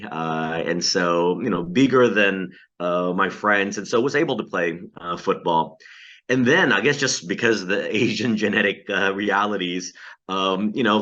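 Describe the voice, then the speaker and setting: A man's voice: lowish voice, pushed and bright. A man, a pastor answering interview questions over a video call, thoughtful and hesitant with many fillers. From Philippines. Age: 40s. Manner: hesitant, many ums and uhs, laughs lightly. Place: at home in the Philippines. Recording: dull lo-fi video call audio.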